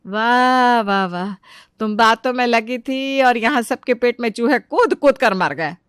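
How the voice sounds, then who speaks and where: A woman's voice: a little high, measured pace, fairly bright tone, clear, resonant tone, no room sound, studio quality. An actress playing an exasperated middle-aged mother-in-law, sarcastic and nagging, in a comic family story. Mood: sarcastic scolding. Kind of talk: animated family comedy story acting.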